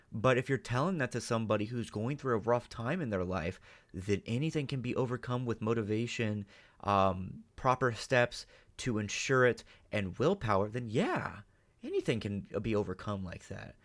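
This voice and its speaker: A man hosting a reaction video, speaking off the cuff in an emphatic, opinionated, rambling way. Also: medium pitch. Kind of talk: unscripted reaction commentary.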